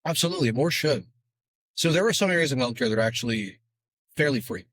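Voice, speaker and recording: A man speaking as a guest in a casual podcast interview, explaining in a rapid, animated way with quick asides. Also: medium pitch, dry recording, clean studio sound.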